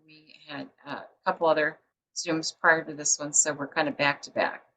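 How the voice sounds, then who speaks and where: A woman's voice: low voice, flat delivery, moderate pace, sharp tone, a little hiss or hum, poor audio. A woman publisher hosting a book show over a video call, chatty and rambling with many fillers. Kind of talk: casual interview chat.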